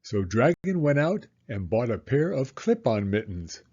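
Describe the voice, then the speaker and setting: A man reading a storybook aloud to young children in a deliberate, expressive storytelling way. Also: medium pitch, husky, muffled recording.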